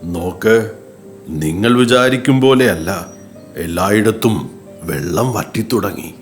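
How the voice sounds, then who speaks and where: A man voicing a wise, patient tortoise in a children's cartoon, giving measured moral advice. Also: lowish voice, expressive, soft background music. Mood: patient warning. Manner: measured, admonishing. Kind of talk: children's cartoon story voice acting.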